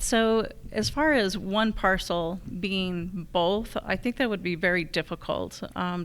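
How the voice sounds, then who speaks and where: A woman's voice: on the low side, a bit bright, clear, resonant tone. A woman with an American accent giving plain-spoken, conversational answers as a candidate at a forum. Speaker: candidate for local assessor.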